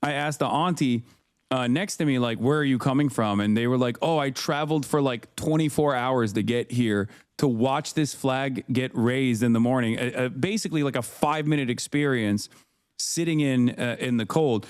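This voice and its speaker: A man's voice: medium pitch, normal speed, smooth, dry recording, clean studio sound. Guy from turkey rambling on a podcast, lots of uh and like, resonant voice. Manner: rambling, many fillers and restarts. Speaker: political commentator, guest. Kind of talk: casual podcast conversation.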